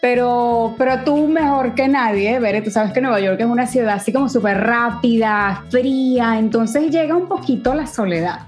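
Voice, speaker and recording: A woman in her forties from Ecuador, a podcast guest telling stories in a relaxed, chatty way. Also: fairly high pitch, moderate pace, hardly any pauses, faint music behind. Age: 40s. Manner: warm storytelling with fillers. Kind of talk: casual chat.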